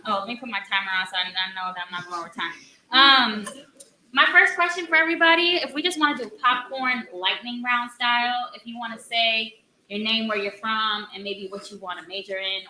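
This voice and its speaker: A woman's voice: mid-range voice, pushed and bright, echoey room, recorded from a distance, slight background noise, low-quality recording. A woman moderating a live panel on a theater stage, upbeat and chatty.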